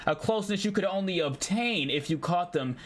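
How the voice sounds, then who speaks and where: A man livestreaming a game, talking to his chat in an animated, slangy way as he thinks aloud. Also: sits high, brisk. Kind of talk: game livestream, reading dialogue aloud and commenting.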